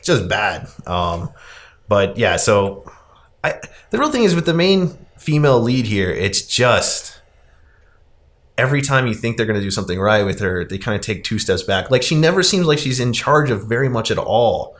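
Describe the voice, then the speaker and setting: A man's voice: on the low side, big pitch swings, normal speed, fairly bright tone. A man hosting a podcast, talking through a movie in an informal, rambling casual review style. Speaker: podcast host.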